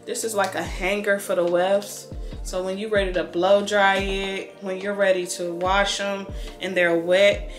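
Female vlogger, thirties, American accent. Casual, conversational, rambling as she thinks aloud; mid pitch, recorded indoors at home. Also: little pitch movement, unhurried, soft background music. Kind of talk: casual chatty unboxing vlog. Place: small room indoors at home.

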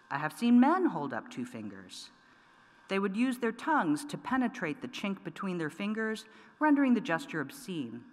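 A middle-aged woman poet reading her work aloud at a lectern microphone, measured and deliberate with pointed emphasis. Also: fairly low pitch, a bit bright. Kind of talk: poetry reading. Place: large wood-paneled auditorium, at a lectern. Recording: long reverb of a large hall.

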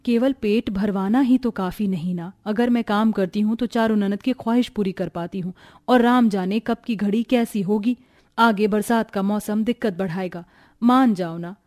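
A woman voicing a poor, patient young married woman in a cartoon family drama, with a weary, gently pleading manner. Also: medium pitch, moderate pace, close to an everyday voice. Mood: gently persuading. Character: poor, patient, self-sacrificing young married sister-in-law.

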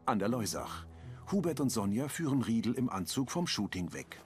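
A man narrating scene descriptions calmly and matter-of-factly over music. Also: mid-range voice, normal speed. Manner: calm, matter-of-fact.

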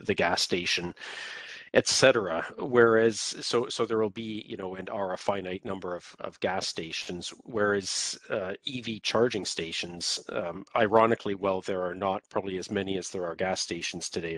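Deep-voiced Canadian man presenting. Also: a bit bright, dry recording, low-quality recording. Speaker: webinar presenter. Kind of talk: informal slide presentation.

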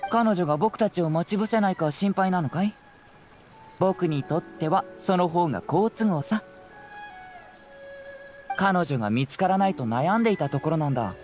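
A man's voice: sits high, lively intonation, moderate pace, pauses often, a little mellow. An actor playing a clever, confident young male detective in an anime game, speaking in a composed, casual, analytical way. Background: music.

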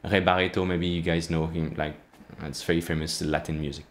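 Man, 30s, low voice; relaxed coding-tutorial host, halting and full of fillers, recorded close in a small room. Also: a little hiss or hum. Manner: halting, many fillers and restarts. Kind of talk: casual screen-share coding walkthrough. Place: small indoor room, plain wall.

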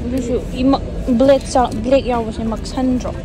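Young woman vlogging on her phone, talks super fast, bit high voice, loud crowd and traffic behind. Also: steady tone, music in the background. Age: young adult. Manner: quick, chatty, laughing often. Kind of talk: casual chat. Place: car, then a shopping mall. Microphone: handheld phone camera. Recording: busy mall crowd and road noise.

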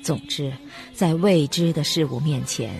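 Eerie low-voiced landlady of an old mansion. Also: a little slow, creaky. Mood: eerie, knowing. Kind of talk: horror radio drama narration and dialogue. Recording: music behind the voice.

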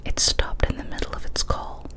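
A young adult woman reads a horror story as ASMR in a breathy whisper, careful and deliberate, close to a studio microphone. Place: small cozy indoor room. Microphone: close studio condenser mic on a boom arm.

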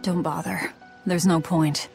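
The brooding young fighter in a fantasy game party, shutting down sympathy in a low, curt voice. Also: female voice, steady tone, a little slow, creaky, darkish tone, faint music behind. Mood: cold, curt. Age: young adult. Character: bitter, guarded young swordswoman, brusque and hardened. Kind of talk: video game acting.

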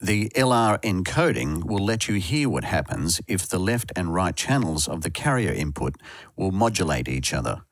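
A man's voice: deep voice, sing-song, moderate pace, no room sound, clean studio sound. A man reading scripted instructional narration for a tutorial as a voice-over. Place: close, dry voice-over recording.

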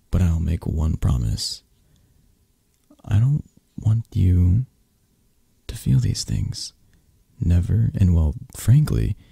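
A man playing a possessive, flirtatious mafia boss who comforts a lover in intimate ASMR roleplay, murmuring softly and haltingly in a breathy, gravelly voice. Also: sits low, dry recording, exaggerated voice. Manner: slow, soft, halting, soothing murmur.

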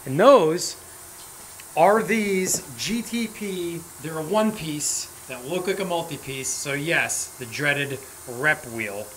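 A man in his thirties hosting a car vlog, talking fast and with energy while working in a tire workshop. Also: fairly high pitch, fairly bright tone, slight background noise, low-quality recording. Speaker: car builder and vlog host. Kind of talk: casual on-camera vlog. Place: indoor tire workshop garage.

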